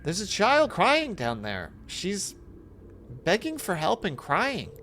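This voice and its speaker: Young female test subject played by a man, horror audio story: frightened, whiny, exclamatory, pitched up, very animated. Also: slow pace, slight background noise, full character voice. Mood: alarmed. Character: frightened, disgusted young woman test subject exploring dark stairs. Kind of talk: horror fiction audio reading.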